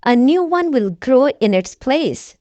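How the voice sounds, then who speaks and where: Woman playing mummy pig figure, reassuring, kindly, slow-paced, melodic, storybook character. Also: sits high, sing-song, dark tone, dry recording, highs cut off, heavily acted.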